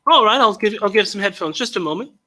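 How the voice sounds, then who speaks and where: A male guest on a video call with a high, very bright voice. He talks fast and keeps his replies short and polite. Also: animated, slight background noise. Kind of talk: casual chat.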